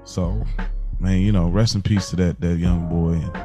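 An American man with a fairly low voice chats as a podcast co-host. He speaks earnestly and informally, full of slang, and repeats phrases to drive them home. Music plays under his voice. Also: steady tone, normal speed. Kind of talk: casual chat.

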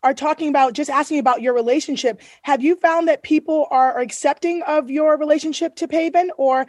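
A woman's voice: high-pitched, fairly quick, a little mellow, no echo, studio quality. A woman in her thirties co-hosting a podcast interview, earnest and effusive as she welcomes her guests. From Boston area, US. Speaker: podcast co-host.

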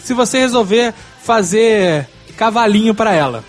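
A man's voice: high-pitched, animated, normal speed. A man giving joking, blunt, slangy advice in casual podcast chat. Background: faint music.

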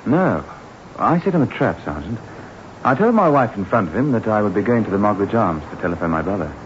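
A man's voice: on the low side, sing-song, fairly quick. A man playing a level-headed husband in a 1960s radio thriller, speaking in a clipped, matter-of-fact way. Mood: calm explaining. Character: level-headed husband who outwitted a killer. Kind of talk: 1960s radio drama acting. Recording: noisy muffled 1960s radio recording.

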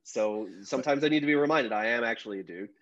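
A man's voice: mid-range voice, quick pace, a crowd in the background. Rambling man with a dull, lo-fi sound. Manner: rambling, self-deprecating, many fillers. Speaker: journalist discussing his story. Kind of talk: casual podcast conversation.

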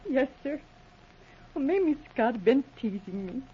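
A very high-pitched, timid young woman sounds meek, naive and anxious in her grief. She speaks deferentially in 1940s radio drama style, through a dull lo-fi recording. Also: animated, moderate pace, breathy edge, heavily acted. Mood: apologetic, anxious. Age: young adult.

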